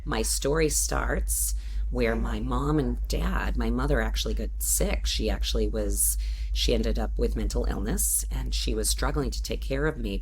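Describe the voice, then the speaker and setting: A woman's voice: very deep voice, darkish tone, a little hiss or hum, low-quality recording. A woman in her fifties from the Boston area, telling her life story in a casual interview with frequent fillers and restarts. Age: 50s. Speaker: guest entrepreneur.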